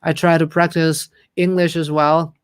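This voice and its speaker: Slow-talking Thai man hosting a livestream. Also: fairly high pitch, level tone, smooth, dry recording, studio quality. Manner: chatty, upbeat, reads viewer comments aloud. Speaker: livestream host. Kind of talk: casual livestream chat.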